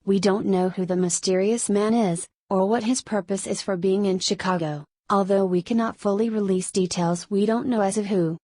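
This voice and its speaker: A woman playing a radio station newsreader, delivering a scripted emergency bulletin in a formal, measured way. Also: mid-range voice, steady tone, warm tone, no echo, studio quality, lightly acted. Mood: serious. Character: female radio station newsreader reporting on city violence. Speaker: emergency broadcast news announcer.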